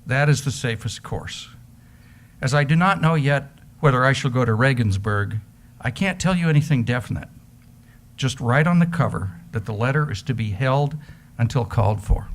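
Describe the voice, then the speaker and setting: A man's voice: mid-range voice, expressive, normal speed. A man giving a theatrical reading of an affectionate letter. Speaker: reader in an amateur readers theater. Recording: background noise, live room ambience.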